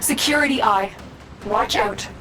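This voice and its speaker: A woman's voice: on the high side, measured pace, heavy background noise, natural voice. A woman playing a composed, commanding mission guide in a sci-fi video game, giving clipped, urgent orders. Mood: focused, urgent. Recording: music behind the voice.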